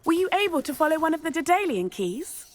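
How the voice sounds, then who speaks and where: A woman's voice: very high-pitched, big pitch swings, fast. A young woman playing a keen, encouraging schoolgirl at a wizarding school in a video game. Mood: excited, curious. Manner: enthusiastic, encouraging. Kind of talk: video game character dialogue.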